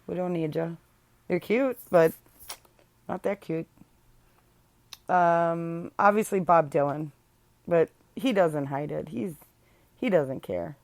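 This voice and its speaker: Woman podcast host, unhurried, lowish voice, dry close sound, blunt and sarcastic. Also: darkish tone, no echo. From Illinois. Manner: chatty and blunt, many ums, sarcastic asides. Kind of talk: casual solo podcast chat.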